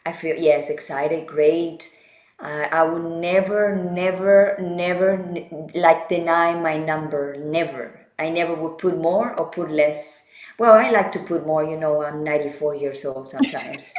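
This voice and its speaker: A woman's voice: low voice, a little slow, a little mellow, reverberant. A woman in her forties hosting a casual talk show, enthusiastic and laughing often. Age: 40s. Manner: enthusiastic, laughs often, non-native phrasing. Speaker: podcast host. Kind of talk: casual chat.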